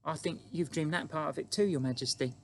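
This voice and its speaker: Man doing a high, earnest little girl. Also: a little fast, slight background noise, lightly acted. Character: polite, earnest little girl, soft-spoken. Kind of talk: audiobook character acting.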